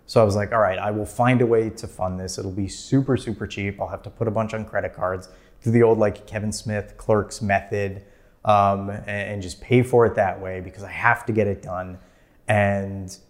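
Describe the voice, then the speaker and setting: A man in his thirties, a filmmaker guest, telling his story in a casual interview with lots of fillers and easy laughs. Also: on the low side. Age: 30s. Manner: rambling, many "like" fillers, laughs easily.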